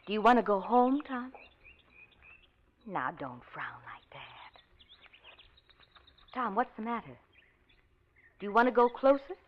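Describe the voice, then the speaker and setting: An actress playing a cheerful American nurse, coaxing and teasing a silent patient with chirpy one-sided patter in an old radio drama. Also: female voice, a little high, sing-song, a little slow, long pauses. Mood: coaxing, concerned. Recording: dull old radio recording, background noise.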